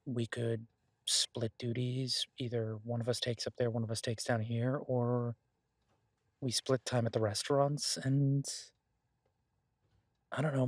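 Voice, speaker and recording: Husky, casual boyfriend chef played by a man. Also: mid-range voice, flat delivery, darkish tone, no room sound, studio quality, natural voice. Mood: earnest, reassuring. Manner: casual, halting, reassuring. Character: easygoing, earnest boyfriend, a chef in a fantasy world. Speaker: audio roleplay performer. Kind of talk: intimate one-sided audio roleplay, conversational.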